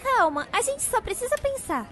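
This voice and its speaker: A woman voicing an excitable, eager young girl inventor in an animated webseries. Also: very high voice, moderate pace, a faint crowd, full character voice. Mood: excited. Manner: eager, exclamatory.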